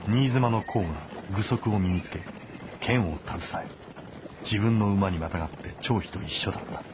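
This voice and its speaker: A man's voice: fairly low pitch, moderate pace, background noise. A man reading scripted, dramatic novel narration as the narrator.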